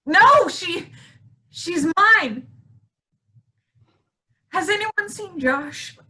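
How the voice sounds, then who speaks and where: An actress plays a grief-stricken wife who has come unhinged in a stage drama. Her voice is high and piercingly bright. She speaks slowly, clinging possessively to what she calls hers. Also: expressive, heavily acted. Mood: possessive, pleading, then bitterly cheerful. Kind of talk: staged play reading.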